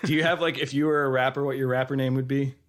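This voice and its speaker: Podcast co-host, thirties, voice worn by a cold, rambling and laughing easily. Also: male voice, medium pitch, fairly even intonation, fast talker. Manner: rambling, self-correcting, laughs often. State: has a cold, losing his voice. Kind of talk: casual chat.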